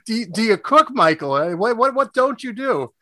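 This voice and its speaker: Higher-voiced man hosting a radio interview. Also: very animated, moderate pace, sharp tone, no echo, clean studio sound. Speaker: radio show host.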